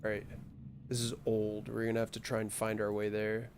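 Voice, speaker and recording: A man's voice: fairly low pitch, monotone, fairly quick, darkish tone, a little hiss or hum. A man casually commentating on a game and reading text aloud, with a wry, mildly profane manner. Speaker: streamer playing a horror game.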